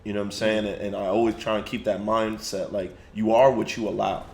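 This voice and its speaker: A man's voice: on the low side, little pitch movement, fairly quick, gravelly, darkish tone, reverberant, a little hiss or hum. A man hosting a casual podcast discussion, easygoing as he puts questions to the group. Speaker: podcast host.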